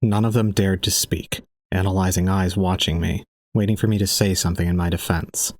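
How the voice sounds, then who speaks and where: Man acting a deep-voiced, resigned young student. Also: fairly quick, no echo, studio quality. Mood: solemn, resigned. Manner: solemn, calm, unemotional. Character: detached, resigned young male student recounting his own ordeal. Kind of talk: scripted first-person audio drama narration.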